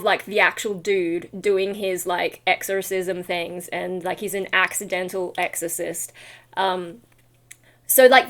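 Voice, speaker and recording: A woman's voice: medium pitch, fairly bright tone. Tired woman rambling casually to her viewers. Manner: scattered, self-deprecating, informal. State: tired, not fully awake. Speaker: vlogger and metal vocalist. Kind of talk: casual rambling vlog chat.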